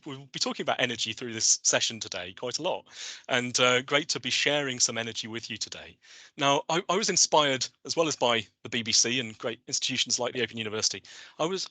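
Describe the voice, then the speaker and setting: Man, webinar host, enthusiastic, bright forceful voice, very lively pitch, dry sound. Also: no room sound. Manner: enthusiastic, animated, with frequent restarts. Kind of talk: presenting a webinar with slides. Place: online video call.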